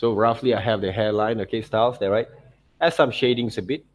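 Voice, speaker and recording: Guy teaching a drawing demo off the cuff, lowish voice, halting, keeps repeating himself and checking in. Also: fairly even intonation. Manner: halting, repetitive phrasing with frequent "okay, right, see" checks. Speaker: art teacher giving a tutorial. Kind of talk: unscripted step-by-step drawing demonstration.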